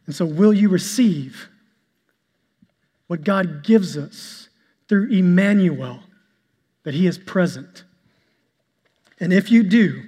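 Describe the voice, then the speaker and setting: A male pastor preaching a sermon to his congregation, with an even delivery he describes as monotonous. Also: high voice. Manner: calls himself monotonous and boring-voiced; preaching, shifts to a soft, slow prayer. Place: church sanctuary. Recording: long hall reverb with soft instrumental music.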